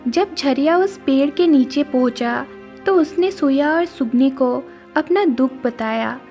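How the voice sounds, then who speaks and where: A woman's voice: high-pitched, takes it slowly, mellow, faint music behind. A woman narrating a scripted moral story as the storyteller.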